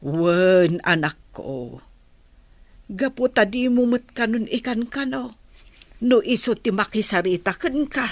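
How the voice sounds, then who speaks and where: Casting: gentle concerned mother, slow measured pace, radio drama, dry close sound. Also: a little high, animated, no echo. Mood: worried. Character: worried, gentle mother of a grown daughter. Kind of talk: Ilocano radio drama acting.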